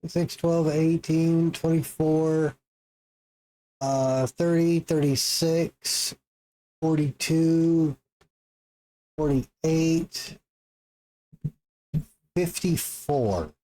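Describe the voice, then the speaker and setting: A man's voice: a little high, steady tone, very slow, long pauses, dark tone, low-quality recording. A man streaming a game live, reacting with jokes and swearing and working out numbers aloud. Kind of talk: casual live-stream commentary.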